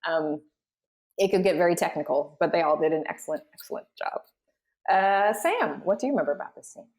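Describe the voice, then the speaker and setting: A woman's voice: fairly low pitch, animated, brisk, a little mellow. A woman hosting a cast discussion as a voice-over director, explaining things in an encouraging way. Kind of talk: guiding a cast panel discussion.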